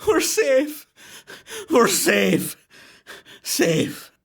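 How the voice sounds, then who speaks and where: Male performer as desperate horror-drama father, urgent exclamations, husky strain, raised pitch. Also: very animated, very slow, exaggerated voice. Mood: relieved. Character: desperate, frantic father in a folk horror tale.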